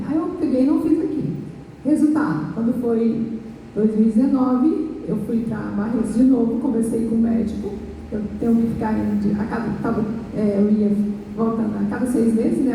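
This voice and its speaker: A hoarse woman with a Brazilian Portuguese accent gives an earnest, halting church testimony as a pastor and worship minister. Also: on the high side, mellow. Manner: earnest, halting retelling with restarts. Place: large church hall. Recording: echoey church hall, distant mic, faint noise.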